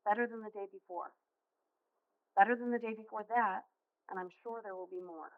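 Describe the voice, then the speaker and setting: A woman playing a caring, patient high school counselor in a stage play, speaking in a gentle, earnest, measured way. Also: steady tone, dry recording, lightly acted. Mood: wistful. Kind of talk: amateur stage play acting. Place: theater stage. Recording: muffled, dull stage recording.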